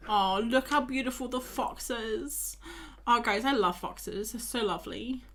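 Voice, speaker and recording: A woman's voice: fairly high pitch, measured pace, a bit bright. Chatty woman streaming her painting. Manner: chatty, self-mocking, talks to viewers while drawing. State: tired, losing her voice. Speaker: art streamer. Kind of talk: casual live-stream chat while painting.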